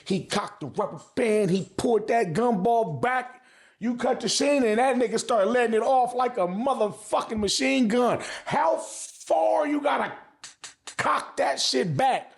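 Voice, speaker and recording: A man's voice: very high-pitched, a little slow, gravelly. A man hosting a casual anime review, talking in heavy slang and profanity, repeating phrases for emphasis. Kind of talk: casual hype review commentary.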